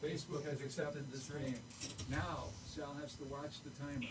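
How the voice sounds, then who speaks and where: A man's voice: a little high, steady tone, normal speed. A man giving brief technical announcements, recorded far off with hum and clicks. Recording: distant, very noisy with hum and clicks.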